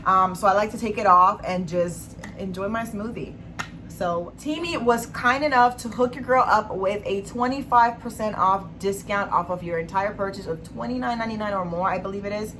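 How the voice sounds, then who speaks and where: Hoarse chatty woman vlogger. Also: medium pitch, normal speed, a bit bright, slight background noise. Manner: chatty, enthusiastic, many fillers. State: hoarse, raspy from illness. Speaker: beauty vlogger. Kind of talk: casual monthly favorites vlog.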